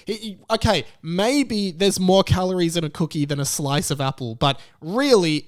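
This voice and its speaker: Higher-voiced young Australian man ranting. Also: dry recording, studio quality. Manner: exasperated, emphatic, comically indignant. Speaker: YouTube commentator. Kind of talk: ranting commentary on a news story.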